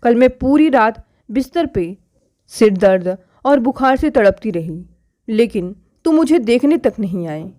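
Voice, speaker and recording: A woman narrator plays a proud modern wife in a family audio story. Her voice is fairly high, indignant and challenging, full of pointed rhetorical questions. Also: lively intonation, measured pace, mellow. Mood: indignant, hurt.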